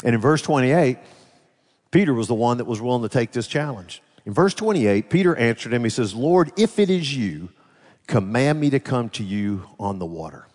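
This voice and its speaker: Animated male preacher in a reverberant hall. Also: mid-range voice, moderate pace. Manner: conversational, rhetorical questions to the congregation. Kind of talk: sermon.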